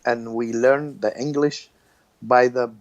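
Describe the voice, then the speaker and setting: A man with an Arabic accent speaks slowly, in a middle pitch. He talks in a plain, apologetic way and co-hosts a casual chat. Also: fairly even intonation, highs cut off. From Iraq. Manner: slow, apologetic, brief backchannels. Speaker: podcast co-host.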